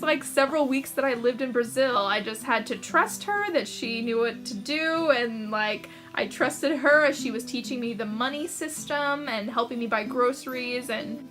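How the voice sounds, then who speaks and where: A woman's voice: high voice, bright, forceful tone. A young American woman telling personal stories to camera in a chatty, casual way, laughing often and filling with "like". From United States. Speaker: author vlogger.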